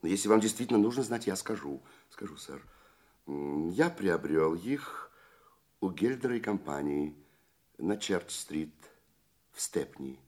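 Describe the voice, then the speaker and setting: An actor playing an obsequious, easily flustered shopkeeper in a Russian radio drama, hesitant and nervously repeating himself. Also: male voice, sits low, animated, unhurried, darkish tone. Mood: guarded. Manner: servile, repetitive, hesitant, nervous. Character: flustered, obsequious, indignant male London shopkeeper. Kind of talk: Russian radio play acting.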